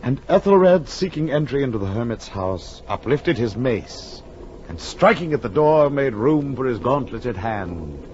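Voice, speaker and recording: An actor playing an earnest, uneasy gentleman friend who narrates a gothic horror tale, grave and theatrical in 1940s radio style. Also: mid-range voice, sing-song, a little slow, a little hiss or hum. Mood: dramatic reading. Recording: muffled 1940s radio recording with music.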